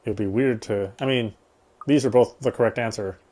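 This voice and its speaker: A man's voice: medium pitch, brisk, dark tone. A man reading game text aloud as a player, in the style of a let's play with casual commentary.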